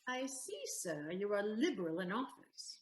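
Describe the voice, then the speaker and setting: A woman acting a shrewd, poised young lawyer in a Shakespearean audio play, speaking formally and deliberately with a teasing edge. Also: medium pitch, big pitch swings, reverberant, close to an everyday voice. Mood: teasing, insistent. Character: shrewd, poised Portia disguised as a male lawyer.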